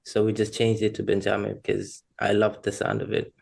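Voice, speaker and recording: A man's voice: fairly low pitch, completely flat delivery, fairly quick, creaky, a little mellow, slightly rough. A man from Rwanda chatting casually as a podcast guest, candid and self-deprecating. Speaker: podcast guest, freelance creative. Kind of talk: casual personal chat.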